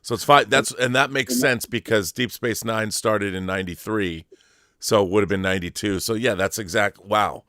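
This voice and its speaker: A man's voice: lowish voice, moderate pace, pushed and bright, no echo, studio quality. A man hosting a casual interview, eager and fannish, jumping from one thought to the next. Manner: eager, fan-like, jumps between thoughts. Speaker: interview host. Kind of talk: casual chat.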